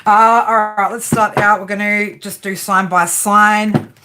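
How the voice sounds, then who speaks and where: A woman's voice: mid-range voice, steady tone, unhurried, noisy background. A woman with an Australian accent, chatting casually and playfully on a live stream while she does a tarot reading and answers viewers' comments. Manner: chatty, playful, slangy, reads chat comments aloud. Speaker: tarot reader.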